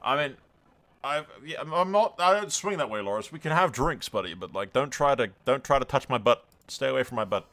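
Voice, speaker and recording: A man's voice: mid-range voice, lively intonation, brisk, fairly bright tone. A man giving casual, improvised commentary on a strategy game playthrough, storytelling in role and swearing freely in a jokey, colloquial way. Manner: foul-mouthed, colloquial, jokey. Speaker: video game streamer narrating a playthrough.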